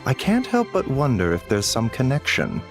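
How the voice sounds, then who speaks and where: An actor playing a gentle, modest doctor in a video game, speaking in a polite, formal, measured manner. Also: male voice, mid-range voice, huge pitch swings, over music. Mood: concerned. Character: gentle, courteous male doctor, composed and modest.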